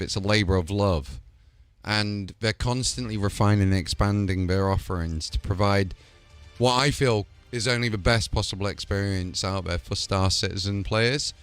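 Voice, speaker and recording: A man hosts a casual gaming show with a low, resonant voice. He talks with eager, promotional enthusiasm. His voice sounds dry, with faint music playing underneath. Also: fairly bright tone, smooth, dry recording. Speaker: host of a gaming channel. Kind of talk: casual showcase chat and promo.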